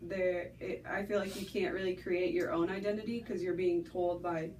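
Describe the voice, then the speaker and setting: A woman's voice: on the low side, steady tone, moderate pace, a little mellow. Tentative young woman asking questions from afar. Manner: casual, tentative questions full of "like". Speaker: student interviewer. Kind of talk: conversational interview. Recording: distant mic, faint background noise.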